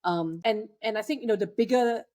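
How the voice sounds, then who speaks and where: A woman's voice: fairly high pitch, fairly quick, a little mellow. A woman from Malaysia, a guest in an interview, talking in a hesitant way with many fillers and restarts. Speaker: guest, waste technology entrepreneur. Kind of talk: conversational interview.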